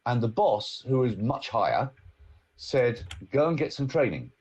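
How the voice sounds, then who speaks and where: A man, a speaking coach, telling a story in casual conversation on a podcast, in an emphatic, animated way. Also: medium pitch, unhurried, a little hiss or hum, low-quality recording.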